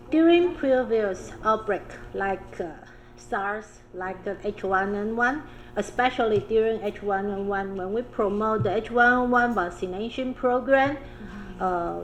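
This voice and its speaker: A woman public health official, speaking on a panel in an animated way, with laughing asides and phrasing. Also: medium pitch, slight background noise, poor audio. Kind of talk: roundtable panel discussion.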